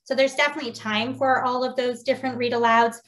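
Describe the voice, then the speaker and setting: Woman, slightly high pitch, preschool teacher, informal online workshop talk. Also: fairly even intonation, quick pace, a bit bright. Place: indoors on a video call. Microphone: video call.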